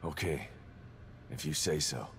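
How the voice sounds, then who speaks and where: A man's voice: extremely low pitch, animated, measured pace, fairly bright tone, slight background noise, heavily acted. An actor playing a weary, grim, guarded American detective father in a video game, brooding and skeptical. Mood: doubtful. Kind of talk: video game cutscene acting.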